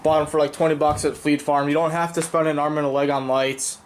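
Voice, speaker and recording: A man's voice: fairly high pitch, level tone, fast, slight background noise. A young man with a cold, sounding congested, casually explaining his boat project in a rambling vlog full of fillers. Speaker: vlogger.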